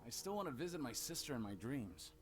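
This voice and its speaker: Casting: male actor as a reluctant everyday villager, medium pitch, courteous but insistent, game dialogue with music behind. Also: very animated, fast, natural voice. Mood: earnest reluctance. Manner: polite, earnest, hesitant. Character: ordinary townsman, reluctant, earnest, politely insistent. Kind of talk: video game voice acting.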